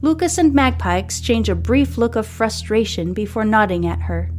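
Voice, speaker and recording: A woman's voice: mid-range voice, moderate pace, a little mellow. A woman hosting and narrating a serialized story podcast, reading in storybook style. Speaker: host and narrator. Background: music.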